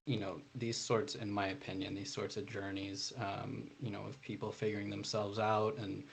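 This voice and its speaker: A man being interviewed live as a debut author, explaining himself in a thoughtful, halting way peppered with "you know". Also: lowish voice, little pitch movement, creaky. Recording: background noise and room ambience.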